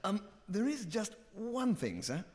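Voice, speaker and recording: A timid, deferential upper-class English officer, hesitant and polite. His voice is raised in pitch and leaps very widely up and down. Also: very animated, unhurried, a little mellow.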